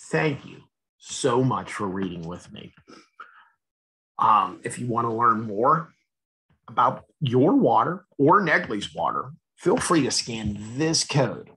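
A man's voice: medium pitch, bright, forceful tone, breathy edge, rough recording. A man reading a children's picture book aloud expressively, addressing young listeners with questions and asides. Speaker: read-aloud storyteller.

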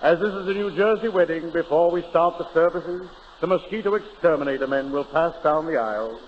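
An actor in a 1930s radio comedy playing a solemn, sanctimonious parson with a pompous, preacherly manner. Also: male voice, a little high, brisk, natural voice. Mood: solemn, pious. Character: solemn, sanctimonious country parson, an adult man. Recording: muffled, hissy, echoey 1930s radio recording.

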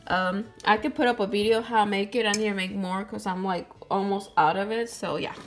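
A woman's voice: medium pitch, fairly even intonation, brisk. A young woman casually narrating doll-play cooking in a sweet, chatty way, speaking to her viewers and a doll. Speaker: doll channel host. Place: toy kitchen indoors. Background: background music.

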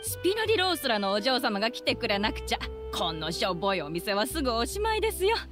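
A woman playing a polite, gently flattering tailor shopkeeper in an anime game, deferential and feminine. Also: a little high, fast. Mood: playful flattery. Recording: clear background music behind voice.

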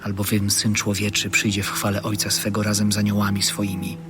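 A solemn spiritual teacher instructs his disciples in an audio Bible drama. His voice is fairly low and evenly pitched, set over music. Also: completely flat delivery, fairly quick, creaky. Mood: solemn teaching. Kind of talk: dramatic scripture reading.

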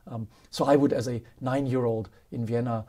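A man in his fifties with a German accent, telling stories as a guest in a studio interview, animated and quick to laugh. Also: mid-range voice. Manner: animated, laughs often, acts out quotes. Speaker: guest, professor. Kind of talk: casual interview storytelling. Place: studio with dark curtain backdrop.

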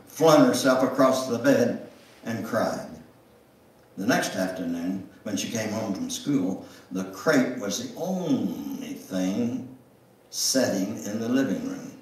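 An older man gives a deliberate dramatic reading of a memoir, with pauses. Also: mid-range voice. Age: older, 70s or above. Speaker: guest speaker reading his memoir. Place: church sanctuary, standing at music stand. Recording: echoey church room, distant microphone.

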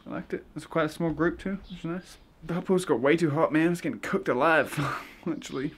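A man's voice: a little high, fast, breathy edge. A young man chatting casually with slang and the odd swear, filming himself with a handheld camera. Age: young adult. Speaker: travel vlogger. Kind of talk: casual travel vlog. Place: outdoors on a beach and trails, then a small room indoors. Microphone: handheld camera at arm's length. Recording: noisy handheld outdoor audio.

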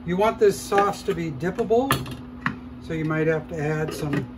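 A man hosting a cooking show, calmly explaining each step as he cooks in a shop demo kitchen. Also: a little high, normal speed, heavy background noise. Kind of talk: casual cooking demonstration.

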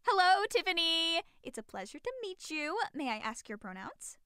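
A perky, fierce little lawyer played by a woman in an audio drama. The voice is high and bright, with lively swings of pitch. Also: normal speed, no room sound, clean studio sound, full character voice. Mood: cheery. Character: perky, small but fierce non-binary lawyer.

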